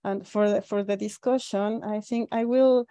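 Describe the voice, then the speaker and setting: Female scholar, Spanish accent, mellow dry sound, enthusiastic but halting delivery with repeated words. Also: medium pitch, steady tone, dry recording. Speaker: academic discussant. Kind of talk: informal spoken academic commentary. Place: living room at home. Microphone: earphone mic on video call.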